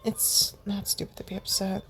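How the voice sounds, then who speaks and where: A woman voicing a kind, gentle young man in a mask who talks in a soft, reassuring way, in a storybook reading. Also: medium pitch, flat delivery, normal speed, creaky, husky, faint music behind, full character voice. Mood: comforting.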